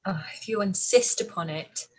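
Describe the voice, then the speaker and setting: Woman doing witty well-mannered young lady in a period radio play, composed, medium pitch. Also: normal speed, lightly acted, rough recording. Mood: polite. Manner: polite, composed, lightly teasing. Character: poised, witty, gracious young gentlewoman. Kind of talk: live-read period radio play acting.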